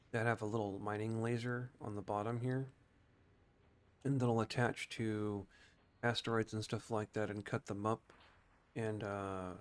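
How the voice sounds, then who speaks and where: A man's voice: lowish voice, smooth. A man giving casual gameplay commentary as a streamer, thinking aloud and explaining things informally. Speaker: game streamer.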